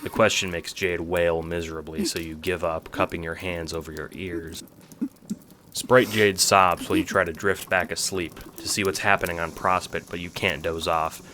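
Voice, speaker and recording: Male narrator, very deep voice, scripted audio drama storytelling, second person, faint background noise. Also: steady tone, moderate pace, bright, forceful tone.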